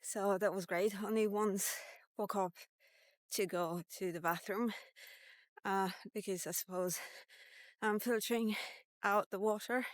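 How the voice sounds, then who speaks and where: A woman in her fifties talking casually in a vlog, halting and reflective, pausing between short phrases. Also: mid-range voice, fairly even intonation, no room sound. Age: 50s. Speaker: vlogger. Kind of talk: casual walk-and-talk diet vlog.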